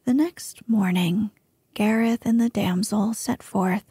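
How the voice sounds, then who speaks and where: A woman reading a storybook tale aloud in a soft, unhurried whisper, close to the mic. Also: medium pitch, breathy edge, dry recording.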